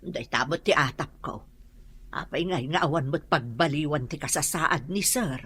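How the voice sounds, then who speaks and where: An actress playing a gossipy, loyal housemaid in an Ilocano radio drama, with an Ilocano accent. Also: female voice, sits low, moderate pace, fairly bright tone, breathy edge. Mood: worried. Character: gossiping middle-aged housemaid, loyal to her employer.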